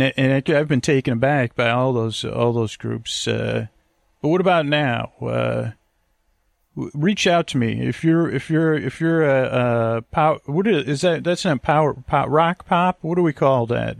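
A man with a gravelly voice, rambling as a podcast host in a hesitant, stammering, meandering monologue meant for falling asleep to. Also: medium pitch, unhurried, no room sound, studio quality.

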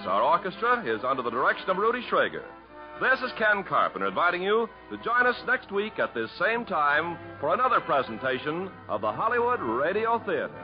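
A man's voice: high voice, sing-song, a little fast. An American man working as a 1950s radio announcer, reading scripted narration. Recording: muffled vintage radio recording, faint music.

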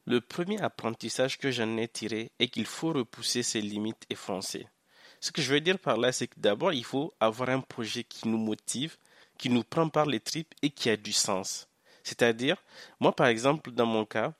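A young man from Niger, a Hausa speaker, giving a measured, earnest first-person account on a podcast with occasional hesitant fillers. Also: medium pitch, a bit bright, no room sound, studio quality. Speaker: podcast guest, social entrepreneur. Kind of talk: first-person podcast testimony, partly read.

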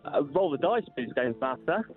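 A man's voice: on the high side, big pitch swings, normal speed, phone-quality sound, faint music behind. Resigned man playing along dryly. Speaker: podcast guest playing the game. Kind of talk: comic role-play banter.

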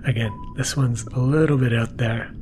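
A man hosting a bedtime podcast, narrating gently in a soft, half-whispered voice for relaxation. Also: fairly even intonation, normal speed, background noise. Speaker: podcast host. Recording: music playing behind the voice. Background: mellow music and low-key sound design.

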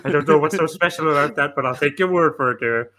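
A man's voice: a little high, quick pace, pushed and bright. A man playing a patronising, mock-stern police detective in an improvised comic radio play. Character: folksy mock-stern male police detective interrogating a suspect.

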